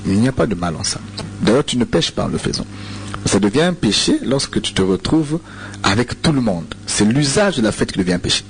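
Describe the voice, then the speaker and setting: A man's voice: fairly low pitch, big pitch swings, fairly quick, gravelly, slight background noise. A man from Congo with a Congolese French accent, a radio preacher answering listener questions, hesitant, with many repeated words and fillers. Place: radio studio.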